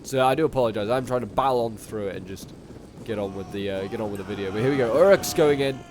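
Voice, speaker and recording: A man with a bit of a cold, chatting casually through strategy-game commentary, rambling along and then bursting out excitedly at big moments. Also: fairly low pitch, fast talker, a little hiss or hum. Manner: chatty, with sudden excited outbursts at big plays. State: sniffly, coming down with a cold.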